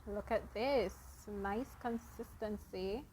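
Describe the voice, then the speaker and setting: A woman hosting a casual cooking vlog, explaining each baking step to her viewers in an unhurried way. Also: mid-range voice, darkish tone, slight background noise, low-quality recording. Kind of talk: casual baking demonstration narration.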